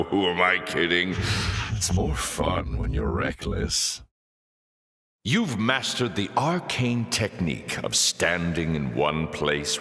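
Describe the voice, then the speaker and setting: An actor playing a sly, mocking fallen angel in a video game, urbane and theatrical, with a sardonic, silky, savouring delivery. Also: male voice, low voice, fairly bright tone, heavily acted. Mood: teasing, amused. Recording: voice in echoey hall reverb.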